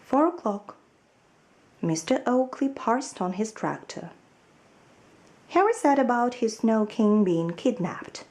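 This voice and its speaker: A woman narrating a children's storybook aloud in an expressive reading style. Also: fairly high pitch, slow, long pauses. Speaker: storybook reader.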